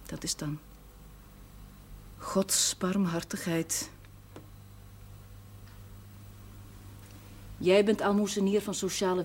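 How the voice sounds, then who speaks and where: An actress playing a self-sacrificing priest's housekeeper in a television drama, earnest, plain-spoken and resigned. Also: female voice, deep voice, darkish tone. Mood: bitter, resigned. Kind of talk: naturalistic television drama acting. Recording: noisy old television soundtrack.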